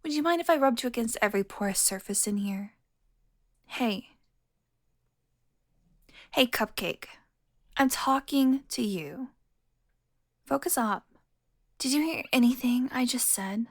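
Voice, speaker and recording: A woman's voice: on the high side, expressive, a little slow, pauses often. A woman playing a smug, flirtatious, predatory female vampire in an intimate audio roleplay, teasing and often dropping toward a whisper. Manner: taunting, sultry, drops into breathy whispers.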